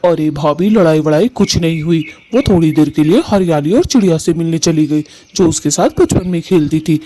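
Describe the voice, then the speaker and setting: Cartoon groom-to-be bird played by a woman: young male, mellow tone, earnest, eager, lovestruck. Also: low-pitched, moderate pace, voice pushed down, poor audio. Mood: anxious pleading. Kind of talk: cartoon story voice acting.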